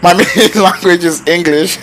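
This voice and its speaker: Excitable esports caster, man. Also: sits high, measured pace, a little hiss or hum, poor audio. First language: Yoruba. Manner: excitable, slangy, jokes with chat. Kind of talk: play-by-play esports commentary.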